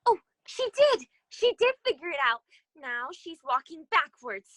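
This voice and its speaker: A woman playing a fretful, excitable, dramatic talking flower in a children's readers' theater performance. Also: very high voice, measured pace, dry recording, heavily acted. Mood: excited. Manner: fluttery, dramatic.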